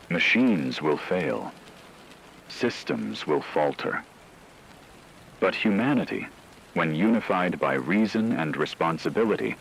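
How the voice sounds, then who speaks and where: A man voicing a grave radio news anchor, speaking in a solemn, measured, formal way as in a scripted emergency broadcast. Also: deep voice, creaky, a bit bright, a little hiss or hum, exaggerated voice, rough recording.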